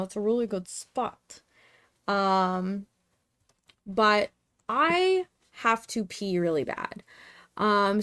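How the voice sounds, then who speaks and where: A woman streamer chatting casually and rambling with lots of fillers, tired and with her voice going hoarse. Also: medium pitch, fairly bright tone. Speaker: craft streamer. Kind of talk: casual livestream chat.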